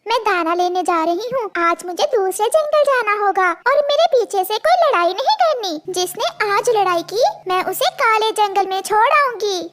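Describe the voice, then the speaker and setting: A woman voicing a caring mother bird who is gentle but firm, in a children's cartoon story. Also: very high-pitched, expressive, normal speed, few pauses. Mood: gently firm. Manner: motherly, admonishing.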